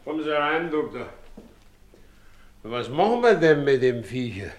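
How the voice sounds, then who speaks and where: In a roomy recording with faint noise, a plain-spoken carter talks in a radio play. His voice is mid-pitched and worried. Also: very animated, measured pace. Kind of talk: naturalistic German radio drama acting.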